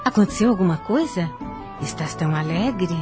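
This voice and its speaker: An actress playing a tender, pious, ailing mother in a Brazilian radio soap, speaking gently and affectionately with a Brazilian accent. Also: lowish voice, lively intonation, normal speed, music in the background, close to an everyday voice. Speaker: radio drama actor.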